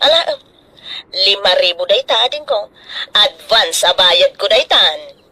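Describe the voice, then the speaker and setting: A woman's voice: mid-range voice, animated, unhurried, bright, forceful tone, low-quality recording. A woman with an Ilocano accent playing a scheming, domineering older in-law in a radio drama, wheedling and exclaiming. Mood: pushy, domineering. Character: pushy, scheming older sister-in-law pressing to buy land.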